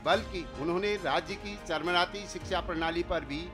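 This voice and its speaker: A man with an Indian accent delivers scripted news narration. His voice is notably high for a male reporter, with music clearly playing underneath. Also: moderate pace. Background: background music.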